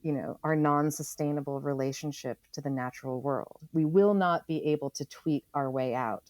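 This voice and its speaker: Woman being interviewed, lowish voice, earnest, thinks out loud carefully, repeats for emphasis, really dry sound. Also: normal speed, no echo. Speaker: interview guest, environmental writer. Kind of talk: reflective interview conversation.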